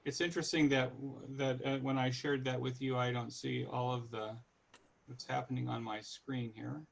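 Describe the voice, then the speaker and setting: A man in his sixties from California with an American accent, giving an informal, halting, conversational online presentation. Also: mid-range voice, level tone, creaky. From California, United States. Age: 60s. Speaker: guest presenter.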